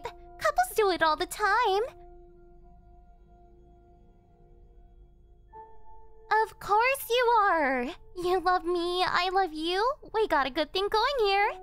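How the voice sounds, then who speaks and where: Very high-pitched, sugary yandere girlfriend. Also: fairly bright tone, full character voice. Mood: sweetly possessive. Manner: sugary, teasing, possessive, theatrical. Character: obsessive yandere young woman, cheerful cafe server turned kidnapper. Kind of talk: yandere ASMR roleplay acting, anime-style. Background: music.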